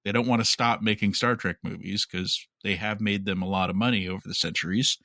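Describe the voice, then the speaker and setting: A man's voice: fairly low pitch, steady tone, a little fast, creaky, sharp tone, no echo, studio quality. A man co-hosting a podcast, casually talking through news with a wry, skeptical manner. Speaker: podcast co-host.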